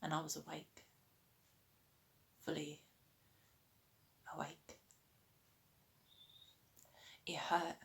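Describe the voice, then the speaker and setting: Actress as female recovering addict, haunted and weary; low voice, measured dramatic monologue delivery. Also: fairly bright tone. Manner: measured, deliberate, pausing delivery.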